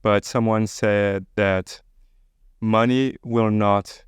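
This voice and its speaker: A man's voice: lowish voice, steady tone, very slow, clean tone, dry recording, clean studio sound. A man hosting a podcast, asking short, curious questions. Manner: curious, brief prompting. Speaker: podcast host. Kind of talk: interview questions.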